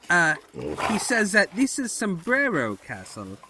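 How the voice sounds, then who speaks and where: A man's voice: high-pitched, vocal fry, noisy background, full character voice. A man voicing a nervous, deferential pony henchman in a cartoon audio drama, polite and hesitant.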